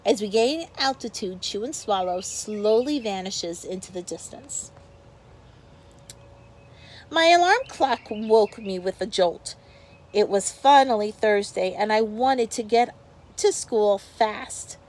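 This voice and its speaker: A woman's voice: mid-range voice, expressive, slow, darkish tone. A woman teacher reads a picture book aloud to young children, expressively, with explanatory asides to her pupils.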